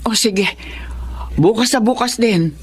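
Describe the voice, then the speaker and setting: A woman playing a kind, soft-spoken older sister in a radio drama, hesitant and worried. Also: on the high side, slow pace, faint music behind. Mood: fond. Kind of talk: Filipino radio drama acting.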